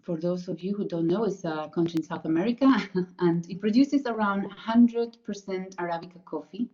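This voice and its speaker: A woman's voice: fairly low pitch, moderate pace, warm tone, lo-fi sound. A woman from Colombia with a Colombian Spanish accent, presenting her PhD research carefully, with frequent fillers and self-corrections. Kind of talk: academic presentation.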